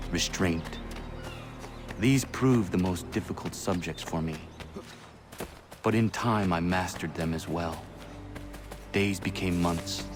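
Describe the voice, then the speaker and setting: A solemn young warrior, earnest and formal in a video game drama. His low voice is measured, set over clear music. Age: young adult.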